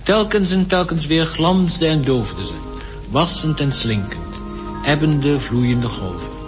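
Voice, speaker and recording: A man's voice: a little high, sing-song, unhurried, over music. An actor playing an observant, poetic polar explorer, narrating his journal lyrically in a radio drama. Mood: awed. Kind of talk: radio drama diary monologue.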